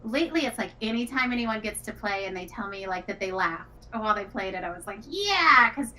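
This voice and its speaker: A woman's voice: mid-range voice, lively intonation, brisk, sharp tone. A woman who works as a game writer and director, chatting casually in an earnest, reflective manner. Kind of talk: casual developer chat. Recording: clearly noisy background.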